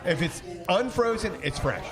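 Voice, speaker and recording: A man chatting casually and telling stories in a halting way, often repeating his phrases. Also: sits high, expressive. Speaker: podcast host and bartender. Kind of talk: casual bar chat, storytelling. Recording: busy crowd noise, outdoor setting. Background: loud crowd chatter.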